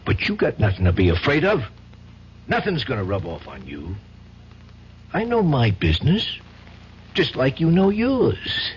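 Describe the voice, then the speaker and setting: A man's voice: medium pitch, huge pitch swings, measured pace, long pauses. An actor playing a streetwise, menacing tough guy in a 1970s radio drama, loyal, slangy and dismissive. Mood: hard, insistent. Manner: slangy, brushes off objections, calls listener kid. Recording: dull, faintly noisy old radio broadcast.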